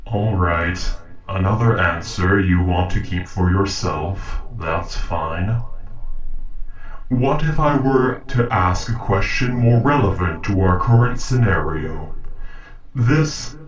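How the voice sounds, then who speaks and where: A man performs a logical, courteous humanoid robot from a sci-fi audio roleplay. The voice is low and very unhurried, with formal, precise diction. It sits back from the microphone, questioning a captive with measured care. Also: animated, muffled recording. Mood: curious. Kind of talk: scripted audio roleplay monologue. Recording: roomy echo, distant from mic.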